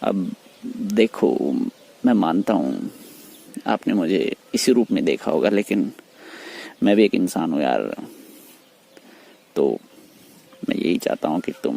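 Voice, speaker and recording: A man speaking in a private phone conversation, pleading and persuading in a halting, repetitive way. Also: low voice, animated, gravelly, warm tone, breathy edge. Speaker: teacher. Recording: noisy phone call recording.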